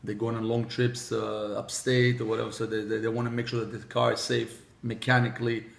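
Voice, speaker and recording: Hesitant Israeli-born man with a gravelly voice. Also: mid-range voice, flat delivery, a little fast. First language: Hebrew. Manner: hesitant, many restarts and fillers. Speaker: car sales manager. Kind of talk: interview answers.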